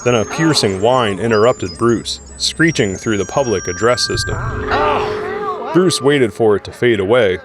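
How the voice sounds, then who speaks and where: A man's voice: lowish voice, expressive, moderate pace. A man reading scripted narration for an audio drama. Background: music.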